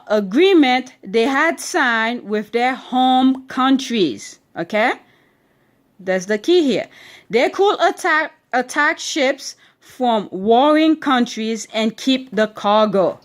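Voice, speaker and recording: Accented woman, higher pitch, slow and deliberate, homeschool read-aloud with constant comprehension checks. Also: lively intonation. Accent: non-standard English accent. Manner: checks understanding with frequent "okay?" and questions; deliberate, stretches key words while reading. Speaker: parent teaching her children at home. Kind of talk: reading a history book aloud and explaining it.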